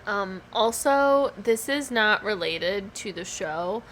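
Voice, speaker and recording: A woman co-hosting a podcast in casual chat, hoarse from swollen tonsils, rambling with lots of "like" and "um". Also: medium pitch, animated, takes it slowly, a bit bright, a little hiss or hum. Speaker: podcast co-host.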